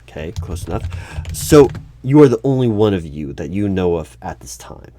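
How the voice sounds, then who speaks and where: A man playing a methodical, puzzled hiring manager in a one-sided interview roleplay, halting as he asks careful clarifying questions. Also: deep voice, sing-song, dark tone, slight background noise. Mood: probing. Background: computer keyboard typing and clicks.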